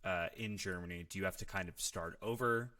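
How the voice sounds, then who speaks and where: Low, bright-voiced man asking hesitant questions. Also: brisk. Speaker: actor asking for career advice. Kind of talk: casual question-and-answer chat.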